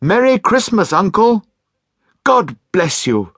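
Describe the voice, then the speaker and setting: A man's voice: a little high, wildly swooping pitch, slow pace, no room sound, clean studio sound, voice pushed up, full character voice. A man doing a cheerful, kindly young nephew. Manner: warm, pleading. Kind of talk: storybook reading.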